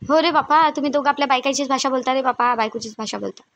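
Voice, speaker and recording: A woman's voice: sits high, fast talker, fairly bright tone. A woman playing a stern, bossy mother-in-law in a family drama, scolding insistently in a Varhadi Marathi accent. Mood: indignant. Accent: Varhadi Marathi of Vidarbha. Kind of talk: amateur family comedy-drama dialogue.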